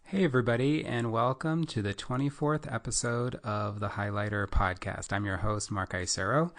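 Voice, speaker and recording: Upbeat low-voiced male podcast host. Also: normal speed. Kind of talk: casual interview.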